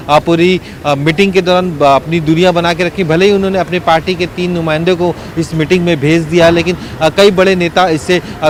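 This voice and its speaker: A man giving an unscripted live news report in a slightly high voice. His delivery is halting and full of filled pauses. Faint urban traffic noise sits behind him outdoors.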